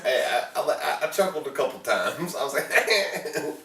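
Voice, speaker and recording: A man's voice: on the high side, sing-song, normal speed, fairly bright tone. A man co-hosting a podcast with casual, conversational banter. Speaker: podcast co-host. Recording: noisy, echoey, distant mic.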